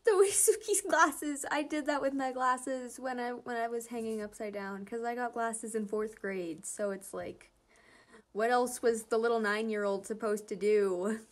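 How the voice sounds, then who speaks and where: A woman's voice: fairly high pitch, moderate pace. A woman chatting casually as she reacts to fan comics, rambling and gushing with a giggly manner. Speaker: host reading fan comic dubs and commenting.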